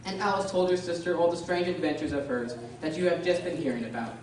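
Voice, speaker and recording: A woman playing the narrator of a children's fantasy radio play, reading descriptively in a measured storybook manner. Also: on the low side, darkish tone, close to an everyday voice. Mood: measured storytelling. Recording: very echoey room, distant, faint noise.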